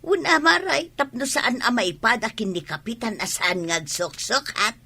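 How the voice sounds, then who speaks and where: A woman playing an excitable village gossip with an Ilocano Filipino accent, exclaiming and drawing out her words in a comic radio drama. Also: on the high side, normal speed, husky, exaggerated voice. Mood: boastful.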